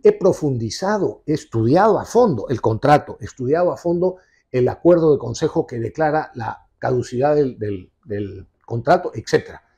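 A man's voice: mid-range voice, lively intonation, normal speed, mellow, breathy edge. A man in his sixties, a television host, giving emphatic and insistent political commentary in an office, recorded on a lapel mic. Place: indoor office with leather sofa.

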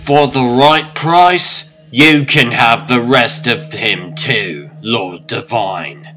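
A man's voice: mid-range voice, slow pace, pushed and bright, soft background music, pitched below the performer's own voice. A man voicing a cold, cocky mercenary rabbit leader in a comic dub, coolly taunting. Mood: cocky threat.

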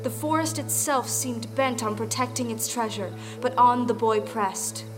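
Actress as folktale storyteller, woman, high voice, dramatic scripted narration, music behind. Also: unhurried, natural voice, low-quality recording. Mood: storytelling. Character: female storyteller of an old folktale.

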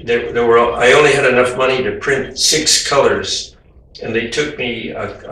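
An older man, an artist, talking informally about his painting in a gentle, halting way with many fillers and restarts. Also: medium pitch. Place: home room with windows, indoors. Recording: very echoey room, voice from a distance.

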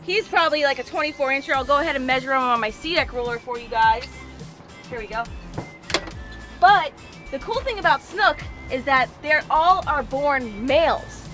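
An American woman in her thirties chatting casually and excitably as a fishing host, recorded outdoors on a small boat. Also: sits high, normal speed, faint music behind. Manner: excitable, exclamatory, informal. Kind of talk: casual vlog chat, explaining to viewers. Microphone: action camera at arm's length or a few feet away.

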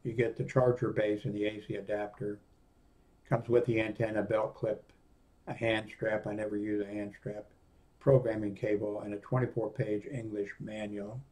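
A man in his sixties giving a casual, unscripted product review in a rambling, halting way, often reading aloud from a screen. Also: on the low side, little pitch movement, dark tone. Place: small indoor home office room.

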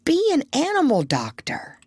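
A scheming, working-class storybook meat peddler, played by a woman reader, chatty and folksy with rough grammar. His sales talk leaps up and down in pitch as he coaxes. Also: huge pitch swings, moderate pace, a little mellow, no room sound, heavily acted. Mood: persuasive. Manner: folksy, persuasive, ungrammatical. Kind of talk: children's storybook character reading.

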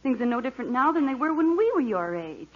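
A woman's voice: high-pitched, big pitch swings, fast, narrow, tinny audio. An actress playing a patient, dryly witty middle-aged mother in a 1940s radio comedy on a vintage recording. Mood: patient, reasoning.

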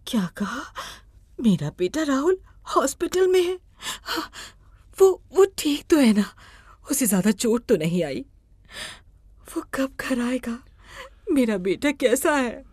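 A woman acts out an elderly mother in an animated moral tale. She is fretful and questioning, with a lively, swinging pitch and a close, dry sound. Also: takes it slowly, husky, dry recording, exaggerated voice. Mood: worried. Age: older. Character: anxious elderly poor mother. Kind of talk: Hindi animated moral story acting.

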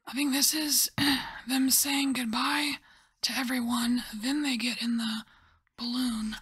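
A woman softly whispering and musing as she lays out and reads tarot cards in an ASMR style. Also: sits high, flat delivery, breathy edge. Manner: soft whispering, musing and unhurried. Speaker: tarot reader.

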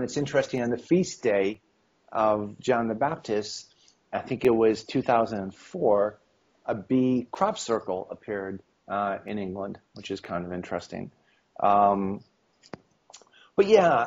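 Husky man with a slight cold. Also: medium pitch. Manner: rambling, many ums, acts out quoted dialogue. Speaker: interview guest, esoteric history author. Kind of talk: casual interview over video call. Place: home room on video call. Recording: dull lo-fi video call audio.

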